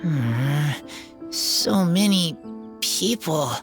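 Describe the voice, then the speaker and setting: A woman voicing a shy, earnest feral teenage boy in a video game, speaking in halting simple phrases. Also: low voice, lively intonation, over music, heavily acted. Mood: uneasy. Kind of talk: English video game voice acting.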